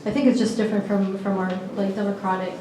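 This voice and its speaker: A woman's voice: medium pitch, little pitch movement, fast talker, background noise. A woman acting as panel moderator, asking a long, rambling question and searching for words. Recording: distant mic in an echoey gallery with crowd ambience.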